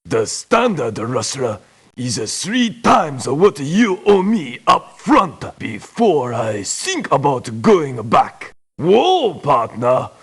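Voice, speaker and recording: An actor playing a folksy, cocky cowboy mercenary in a video game, with a husky voice and broken English full of western slang. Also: male voice, on the high side, a bit bright, heavily acted. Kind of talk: video game character voice lines.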